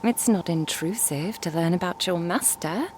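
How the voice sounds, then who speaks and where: A woman playing a curious, composed traveling merchant and master in an ASMR roleplay, speaking in a measured, formal, inquisitive way. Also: on the low side, a little hiss or hum, lightly acted. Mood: composed, probing. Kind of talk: ASMR roleplay audio drama.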